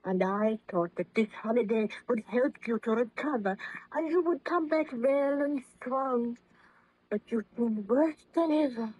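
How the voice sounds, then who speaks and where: A woman's voice: a little high, a little slow, a little mellow, breathy edge, no echo. An actress playing an anxious, devoted young woman in earnest, formal 1930s radio acting. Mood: concerned. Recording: muffled vintage radio drama recording.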